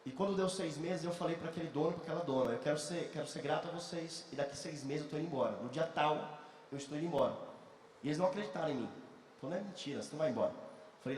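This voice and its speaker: A man's voice: on the high side, a little mellow, far from the mic, slight background noise. A man in his thirties giving an earnest personal testimony to an audience, repeating phrases for emphasis. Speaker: guest speaker. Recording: echoey hall, mic from afar.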